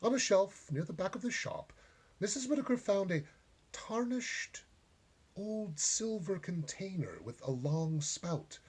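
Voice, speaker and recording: A man reading a short story aloud as a storyteller, with gentle, deliberate and dramatic phrasing. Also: high-pitched, sing-song, slightly rough.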